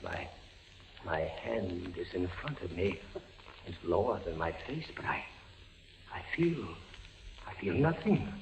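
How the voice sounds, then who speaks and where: An actor playing a frail, desperate condemned prisoner in a halting, breathless, theatrical 1940s radio style. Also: male voice, deep voice, very animated, vocal fry, noisy background, full character voice. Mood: frightened. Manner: halting, breathless, theatrical delivery with repeated words. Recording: muffled 1940s radio recording with music.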